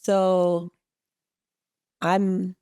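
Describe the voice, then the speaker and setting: A woman from Suriname co-hosting a podcast in casual chat, affirming and measured. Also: lowish voice, flat delivery, mellow, clear, resonant tone, no echo, clean studio sound. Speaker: podcast co-host.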